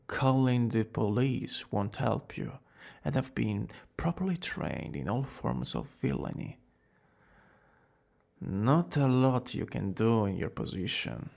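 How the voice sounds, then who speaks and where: Man playing a young male villain student, theatrical, smitten, creaky voice, slow drawn-out delivery, ASMR romance roleplay. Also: medium pitch, very animated, a little mellow, heavily acted. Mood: gloating, confident. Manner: dramatic, drawn-out boasting that slips into breathy whispers and flustered exclamations. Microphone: close studio-style microphone.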